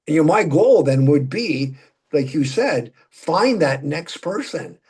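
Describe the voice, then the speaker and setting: A man's voice: fairly high pitch, sing-song, takes it slowly, darkish tone, husky. A man in his seventies with a Minnesotan accent, chatting in a folksy, talkative way as a political candidate in a casual conversation. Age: 70s. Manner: folksy, chatty, laughs while talking.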